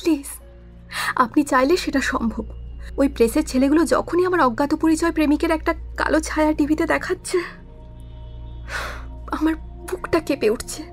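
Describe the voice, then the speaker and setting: A woman's voice: high voice, normal speed, pauses often, faint music behind, close to an everyday voice. A woman playing an anxious, guilt-ridden witness in an audio drama, pleading and defensive with feeling.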